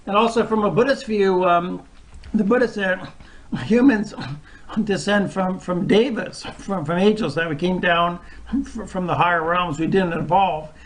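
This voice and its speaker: A man's voice: high voice, a little slow, low-quality recording. A man hosting a casual discussion, talking in a rambling, loose way. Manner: rambling, loosely strung thoughts. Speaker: host.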